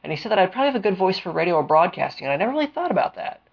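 A man hosting a solo career-advice podcast, smooth-voiced and deliberate, with a cold and a sniffle, explaining with frequent fillers. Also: high-pitched, big pitch swings, highs cut off. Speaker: podcast host.

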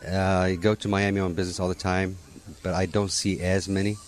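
A very deep-voiced man from Morocco speaks in an easygoing, unhurried way. He keeps restarting his sentences and fills the pauses with "you know". A faint hum of outdoor ambience sits behind him. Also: flat delivery. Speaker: interviewee at a holiday gathering. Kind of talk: casual conversational answers.

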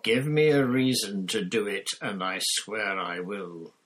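A man's voice: on the low side, unhurried, a bit bright. A man voicing a sneering, vengeful male professor who speaks in a silky, spitting, menacing way, in an audiobook. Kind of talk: audiobook character voice.

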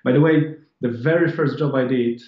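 A man's voice: mid-range voice, fairly even intonation, moderate pace, echoey room, muffled recording. A man in his thirties from Poland, speaking English with a Polish accent, chatting as an interview guest in a rambling way with many restarts. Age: 30s. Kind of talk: casual interview chat.

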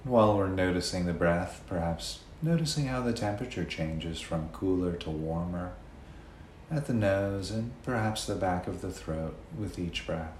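A man leading a guided meditation in a gentle, unhurried way, leaving long pauses between phrases. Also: low voice. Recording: roomy echo, faint background noise.

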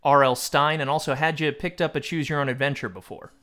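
A man's voice: fairly high pitch, fairly even intonation, fast talker, pushed and bright. A man hosting a podcast in casual conversation, with a wry, joking manner. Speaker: podcast host. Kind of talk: casual chat.

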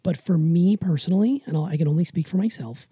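A woman's voice: on the low side, fast talker, mellow, no echo, studio quality. A middle-aged woman, chatting emphatically and with self-assurance as a podcast co-host. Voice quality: raspy. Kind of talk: casual chat with emphatic opinion.

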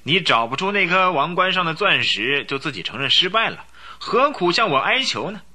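A haughty aristocrat with a secret criminal life in a radio play. He scoffs at every charge, his very bright, sharp voice sliding high and low. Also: sing-song, moderate pace, heavily acted. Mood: sneering. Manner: sneering, scornful, haughty. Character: arrogant aristocratic male count, gang boss. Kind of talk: radio drama acting.

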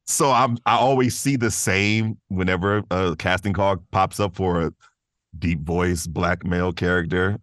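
A man's voice: low voice, steady tone, unhurried, fairly bright tone, dry recording, clean studio sound. A man talking casually and thoughtfully as a guest in an interview about his work, often restarting his phrases. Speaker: voice actor, podcast guest. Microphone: close studio microphone in a vocal booth.

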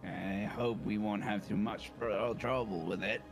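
A man's voice: fairly low pitch, fairly even intonation, unhurried, over music. A man chatting playfully as a player in a tabletop game. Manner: playful, joking. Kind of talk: casual table talk as a player.

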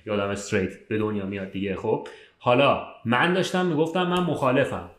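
A man's voice: mid-range voice, expressive, fairly bright tone. A man chatting casually as a podcast co-host, rambling through informal, slangy explanations. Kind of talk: casual conversational chat. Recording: roomy, echoing sound.

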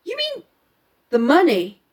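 A woman's voice: very high-pitched, wildly swooping pitch, slow, a little mellow, pitched above the performer's own voice, exaggerated voice. A woman voicing an angry, dramatic male police inspector with a Scottish accent, indignant and emphatic, in a storybook mystery. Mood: incredulous.

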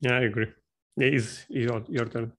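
A male podcast host with a medium-pitched voice. He speaks English in a casual, conversational way, halting and doubling up on words as he builds his questions and answers. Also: fairly even intonation, a bit bright. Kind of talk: casual interview chat.

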